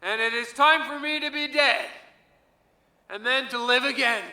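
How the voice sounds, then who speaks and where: An actor playing a fatalistic time-traveller, slowly and grandly proclaiming his own death to a public gathering in a radio drama. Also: very high voice, bright, forceful tone, exaggerated voice. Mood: exalted, resigned. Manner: declamatory, slow, exalted. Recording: echoing public-address hall sound.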